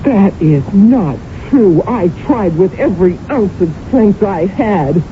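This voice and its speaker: An actress playing an anguished, defensive, jealous wife in a radio mystery drama, speaking in a theatrical, halting way. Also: female voice, medium pitch, expressive. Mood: pleading, distressed. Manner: theatrical, halting, emotionally intense. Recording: noisy, narrow-band old radio recording.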